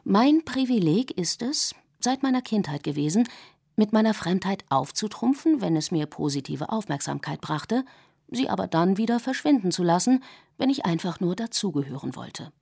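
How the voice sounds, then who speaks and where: A woman in her forties, a native English speaker raised in Germany, reading her own radio essay in a measured, reflective way. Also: mid-range voice, big pitch swings, vocal fry, darkish tone, slightly rough. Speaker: essay author and narrator. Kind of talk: scripted radio essay narration.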